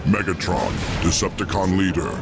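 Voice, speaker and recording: A man's voice: sits low, moderate pace, natural voice. A man voicing a giant, emotionless robot war machine in a video game, in clipped mechanical phrases. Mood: cold, menacing. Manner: clipped, mechanical, monotone commands. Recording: loud music over the voice.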